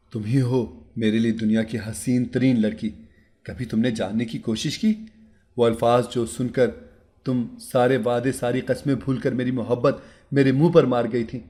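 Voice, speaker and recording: Guy playing a soft-spoken husband, calm and patient, audio story. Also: mid-range voice, lightly acted. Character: calm young husband, gentle and pleading.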